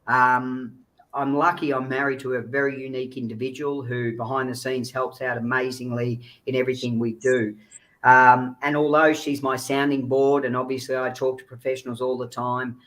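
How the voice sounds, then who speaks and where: A man's voice: mid-range voice, fairly bright tone, poor audio. A man giving informal, earnest, explanatory answers as an interview guest on a video call. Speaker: guest speaker, child recovery agency founder.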